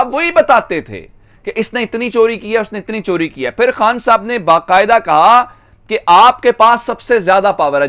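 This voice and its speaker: A man with a Pakistani accent hosts an online political news monologue. His voice sits clearly high for a man. He speaks emphatically, stretching the phrases he wants to stress. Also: fairly bright tone. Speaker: news commentator and channel host.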